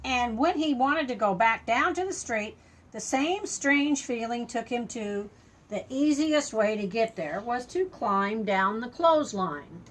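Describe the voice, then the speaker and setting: An older woman with a high voice reads a children's storybook aloud at a slow, unhurried pace. She tells it expressively, in simple words, pausing to explain things to a young listener like a grandmother at storytime. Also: lively intonation, slight background noise.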